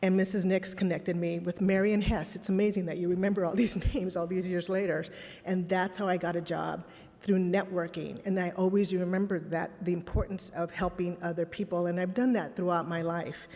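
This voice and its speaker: A woman's voice: on the low side, fairly even intonation, fairly quick, a little mellow. Woman giving a candid keynote, hall reverb. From Texas border town, raised in Los Angeles. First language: Spanish. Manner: candid, reflective storytelling with rhetorical questions. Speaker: conference speaker. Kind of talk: personal keynote speech. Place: conference hall. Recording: long reverb in a large hall.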